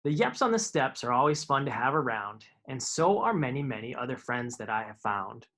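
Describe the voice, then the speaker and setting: Guy doing a live storytime for kids, reading rhyming books, informal, mid-pitched voice. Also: sing-song, a little fast, pushed and bright. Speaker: karate instructor reading children's books aloud. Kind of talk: live storytime read-aloud to children.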